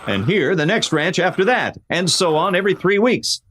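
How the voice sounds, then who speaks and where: A man playing a resolute masked cowboy hero in a radio play, explaining and giving orders with crisp authority in an American accent. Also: high-pitched, very animated, moderate pace, fairly bright tone, slight background noise. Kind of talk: Western radio play acting.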